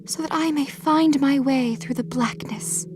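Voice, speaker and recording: Woman voicing a high, hushed, pleading young lady. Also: unhurried, noisy background. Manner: hushed, whispery, formal polite pleading. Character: gentle, polite young lady, timid and pleading. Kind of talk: storybook audiobook character.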